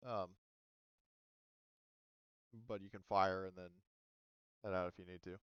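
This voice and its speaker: A man's voice: low voice, level tone, unhurried, smooth, no echo, dull audio. A man acting as game master, casually and informally moderating an online tabletop game. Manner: informal, swears.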